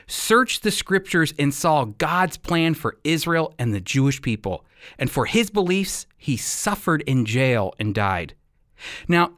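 A man's voice: fairly high pitch, a bit bright, no echo, studio quality. A man presenting a radio program, explaining church history and scripture in an earnest, emphatic teaching manner.

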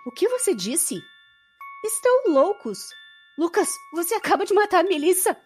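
A woman's voice: very high voice, sing-song, moderate pace, darkish tone, soft background music. An actress with a Brazilian accent playing a frightened, disbelieving young woman in an audio drama, sounding distraught. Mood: shocked. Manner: distraught, incredulous.

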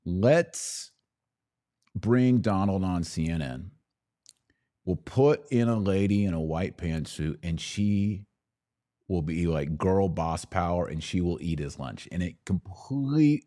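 A man's voice: sits low, expressive, takes it slowly, mellow. A man hosting a podcast, giving casual, opinionated political commentary in a conversational way with some mocking mimicry.

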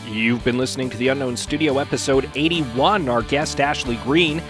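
Guy hosting a podcast, medium pitch, dry mock-formal delivery, faint guitar behind him. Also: moderate pace. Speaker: podcast co-host. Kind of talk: casual hosting and scripted sign-off.

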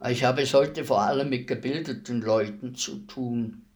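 An actor playing a polite, businesslike state executioner in a German radio play, formal and matter-of-fact. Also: male voice, mid-range voice, moderate pace, a little mellow, close to an everyday voice. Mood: polite, calm. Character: polite state executioner, businesslike, unruffled, deferential hired killer.

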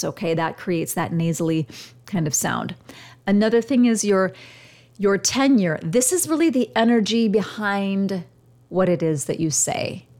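A woman's voice: mid-range voice, darkish tone. Radio-trained woman coaching on voice. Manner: demonstrates volume changes with her voice; uses dramatic pauses, radio-trained delivery. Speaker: podcast host and communication coach. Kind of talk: instructional monologue. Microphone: studio microphone.

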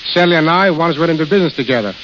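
Higher-pitched man on crackly old radio. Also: animated, very fast, a bit bright. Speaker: studio caterer, interview guest. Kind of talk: 1930s radio interview. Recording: hissy, crackly dull 1930s radio recording.

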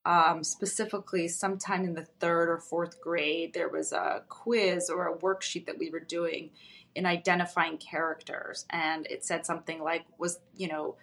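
A woman whose first language is Russian, speaking in a lowish voice. She talks as a guest in an unhurried, reflective way, often pausing with an um and letting her sentences trail off softly. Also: flat delivery. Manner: thoughtful, with frequent ums and soft trailing ends. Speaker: guest, psychologist. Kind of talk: reflective personal storytelling.

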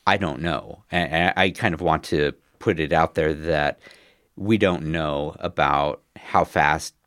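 A man with a very low, bass voice hosts a casual podcast chat while a cold is coming on. He talks haltingly, with ums and restarts. The sound is close and dry. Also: fairly bright tone, clean tone, no echo. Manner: hesitant, many ums and restarts. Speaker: podcast host.

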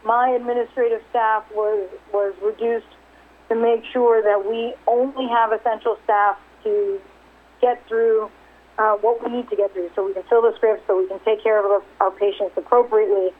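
A woman's voice: on the high side, level tone, moderate pace. A woman executive presenting results on a company conference call, businesslike, often restarting and repeating words. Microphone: telephone. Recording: tinny phone line.

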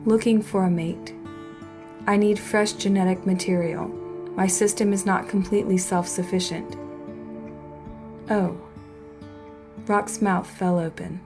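Woman narrating fiction over clear music. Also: mid-range voice, steady tone, unhurried, pauses often. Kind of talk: scripted audio story narration. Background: music under the voice.

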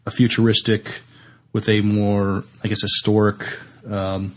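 A man with a fairly low voice and very flat intonation chats casually as a podcast panelist. His delivery is halting, feeling its way through each sentence. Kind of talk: casual discussion of TV science fiction.

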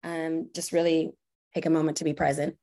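A woman's voice: sits low, level tone, fairly quick, warm tone. Encouraging woman, podcast guest. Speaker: podcast guest, corporate strategy director. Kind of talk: casual podcast interview answers.

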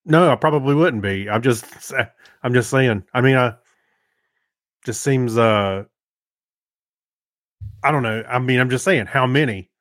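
A man's voice: medium pitch, measured pace, long pauses, fairly bright tone, no echo, clean studio sound. A man co-hosting a casual podcast, chiming in with short, hesitant, skeptical asides. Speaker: podcast co-host. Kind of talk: casual chat, short reactions.

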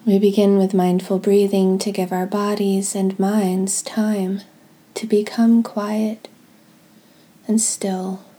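Slow, mellow-voiced woman guiding meditation. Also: medium pitch, little pitch movement. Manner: gentle, deliberate, pausing between short phrases. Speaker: podcast host leading guided prayer and scripture reading. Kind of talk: guided meditative devotional reading.

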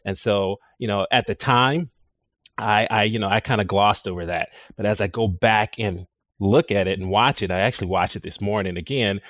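A man speaking as a guest in a casual roundtable, enthusiastic and halting, with many restarts. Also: sits low, a bit bright, dry recording, studio quality. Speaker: panel guest.

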